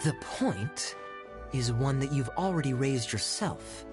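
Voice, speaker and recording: An actor playing a suave young cavalry captain in a video game, with a smooth, playful, theatrically sly delivery. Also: male voice, medium pitch, animated, slow pace, over music. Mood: teasing. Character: suave, teasing, silver-tongued young cavalry captain, male. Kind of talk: video game character dialogue.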